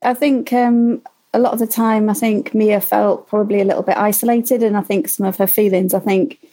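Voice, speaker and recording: A woman in her forties, a mother guesting on a podcast, talking hesitantly with many pauses. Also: mid-range voice, little pitch movement, mellow. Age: 40s. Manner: hesitant, many fillers and restarts. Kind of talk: casual interview chat.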